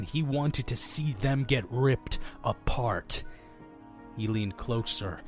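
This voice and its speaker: A man's voice: lowish voice, natural voice. Man playing a wry, sinister innkeeper storyteller. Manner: sardonic, dramatic pauses, conversational asides. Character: wry, sinister innkeeper telling grisly horror tales. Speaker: storytelling narrator in character. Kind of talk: theatrical horror anthology narration, shifting to wry asides.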